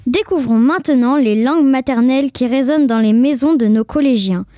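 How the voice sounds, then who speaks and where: A woman presenting a report, reading a scripted introduction clearly. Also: sits high, a little fast, mellow, no echo, clean studio sound.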